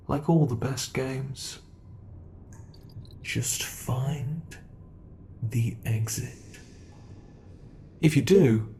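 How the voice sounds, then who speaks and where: A British man voicing an ancient male monster in an ASMR horror roleplay, speaking with soft, mockingly polite menace. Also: mid-range voice, a little hiss or hum. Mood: teasing. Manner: slow, soft-spoken, teasing menace.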